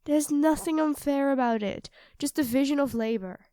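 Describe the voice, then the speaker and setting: A woman plays a young girlfriend with a high-pitched voice, recorded close and dry. She is doting and playful, teasing her partner with expressive turns of phrase. It has the intimate feel of an ASMR roleplay audio drama. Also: normal speed, no room sound, natural voice. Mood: affectionate, chatty. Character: affectionate, teasing young live-in girlfriend cooking dinner.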